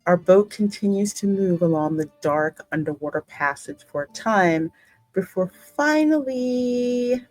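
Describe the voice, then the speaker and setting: A woman's voice: medium pitch, expressive, darkish tone, no room sound. A woman hosting a gaming channel, narrating a story game and adding her own spoken asides. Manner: reads narration slowly, reacting aloud in asides. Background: music behind the voice.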